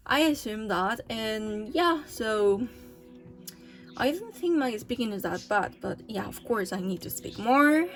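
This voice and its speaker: A woman's voice: on the high side, animated, a little slow, soft background music. A young woman talking casually about her own language goals, with many hesitations and fillers, in a language that is not her first. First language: not English. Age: young adult. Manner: hesitant, many fillers and restarts, soft laughs. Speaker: language-learning vlogger. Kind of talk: casual vlog talk.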